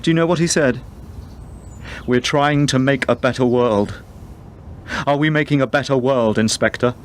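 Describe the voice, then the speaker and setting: A man plays a bereaved father in a radio drama, shaken and clinging to denial. He talks in halting, rambling fragments at a middling pitch, with some background noise on the recording. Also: close to an everyday voice. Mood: stunned, evasive. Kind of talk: radio play acting.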